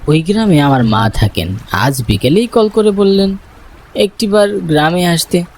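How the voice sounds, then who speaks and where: A man playing a homesick, worried village son, narrating a dramatized first-person story in an expressive, reflective way. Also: high voice, measured pace, poor audio. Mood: irritated, dismissive. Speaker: narrator and main character.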